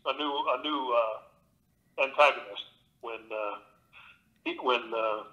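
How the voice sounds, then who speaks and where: A man, an actor and audiobook narrator, being interviewed and telling stories in a relaxed way with plenty of fillers and restarts. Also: mid-range voice, slow pace, dull audio. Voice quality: big voice. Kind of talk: conversational interview.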